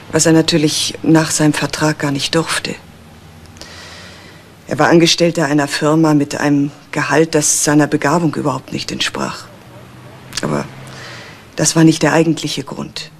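An earnest grown daughter in an eighties crime radio drama. She is anxious and explains herself hesitantly but insistently. A faint noise lies under the old recording. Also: low voice. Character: earnest, anxious adult daughter of a murdered professor. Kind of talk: 1980s German crime radio drama acting.

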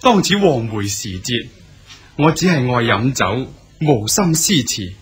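A jolly cantonese scholar buddy, raspy, slow, bouncy pitch. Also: male voice, sing-song, heavily acted. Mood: cheerful. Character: friendly male Song dynasty scholar guest, cheerful. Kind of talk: radio drama acting.

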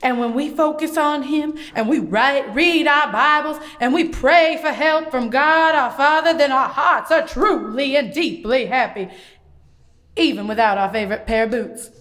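A woman's voice: high voice, measured pace, a bit bright. A woman voicing a friendly, cheerful puppet who tells Bible stories to children in a folksy, encouraging way. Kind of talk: children's puppet show dialogue.

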